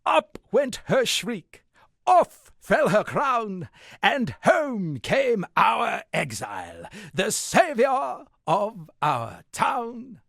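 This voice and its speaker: A florid storytelling poet for a video game, full of his own grandeur. His husky, creaking voice sits fairly high for a male and sweeps widely up and down as he declaims like a stage bard. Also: wildly swooping pitch, drawn-out words, a bit bright, no echo, studio quality, exaggerated voice. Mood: grand declaiming. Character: theatrical, self-satisfied male town poet and storyteller. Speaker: video game character voice actor. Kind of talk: theatrical game dialogue with declaimed verse.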